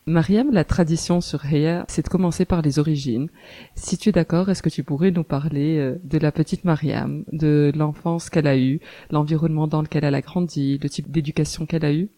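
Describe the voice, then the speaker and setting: A woman hosts a podcast interview in a conversational style. Her tone is mellow and relaxed as she puts questions to her guest. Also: deep voice, a little fast.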